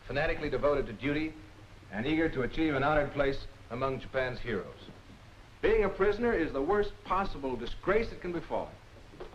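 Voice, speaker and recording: Dull old film audio, man acting as intelligence lecturer, formal, deliberate phrasing, emphatic, 1940s military style, faint hiss. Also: a little high, lightly acted.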